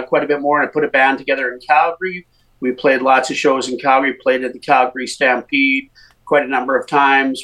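A middle-aged Canadian man speaks as a musician guest on a podcast. His voice sits slightly high, and he tells his story in a chatty way, with many uh's and restarts. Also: a bit bright, rough recording. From Winnipeg, Canada. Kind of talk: casual interview.

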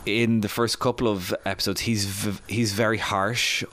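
A man's voice: on the low side, little pitch movement, moderate pace, fairly bright tone, dry recording. A man co-hosting a podcast, giving emphatic opinions in casual review chat. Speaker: podcast co-host.

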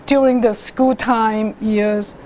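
A middle-aged woman, telling her life story as a guest artist in an interview, haltingly, with many restarts and pauses. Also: fairly high pitch, fairly even intonation, mellow, a little hiss or hum, rough recording. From Hong Kong.

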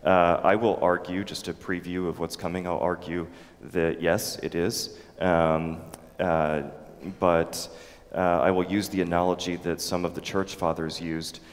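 A man teaching a theology class, talking in a halting way with many ums and restarts before taking questions. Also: low-pitched, little pitch movement. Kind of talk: theology lecture with audience questions. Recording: echoey hall with long reverb.

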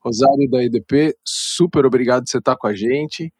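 A man's voice: medium pitch, fairly quick, darkish tone, slightly rough, no room sound. A man with a Brazilian accent hosting a podcast, introducing guests in a casual, chatty way. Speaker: podcast host. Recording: dry sound with light music bed.

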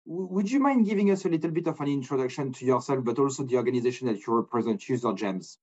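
A man's voice: mid-range voice, expressive, quick pace, a little mellow, slightly rough, lo-fi sound. Rambling man hosting a business podcast. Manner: rambling, many restarts, non-native phrasing. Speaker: podcast host. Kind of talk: casual business interview.

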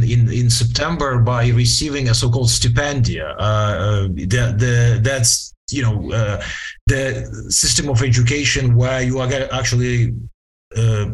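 A man in his late forties with a Ukrainian accent giving reflective interview answers, halting and searching for words in formal, wordy phrases. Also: medium pitch, poor audio. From western Ukraine, Transcarpathia. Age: late 40s. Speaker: interview guest, lawyer.

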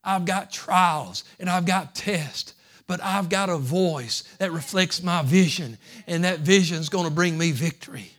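A man preaching a sermon as pastor, folksy and repetitive, building from soft talk to loud fervent preaching. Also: high voice, measured pace. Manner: folksy, repeats phrases.

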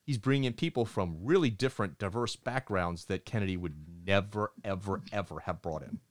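A middle-aged man co-hosting a casual podcast, talking in an animated, opinionated way and acting out quotes in other voices. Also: lowish voice, a little fast. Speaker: podcast co-host.

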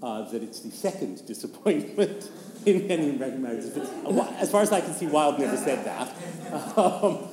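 A man giving informal scholarly commentary in a halting, wry way. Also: mid-range voice, sing-song, a crowd in the background. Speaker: panelist, literary commentator. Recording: reverberant hall, faint noise.

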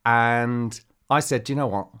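A man in his forties, a podcast co-host, telling an animated story in casual chat and acting out what other people said. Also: mid-range voice, normal speed, smooth.

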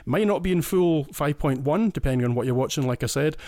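A man's voice: on the high side, lively intonation, a little fast, darkish tone, no room sound. Enthusiastic Scottish man, dry sound. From Scotland. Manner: enthusiastic, explains in detail with frequent restarts. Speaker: guest listener. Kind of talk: casual podcast chat.

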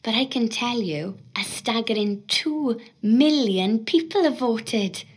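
An actress playing an upbeat television presenter giving announcements in a husky voice. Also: female voice, a little high, expressive, slow pace, full character voice. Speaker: TV show presenter. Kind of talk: show announcement.

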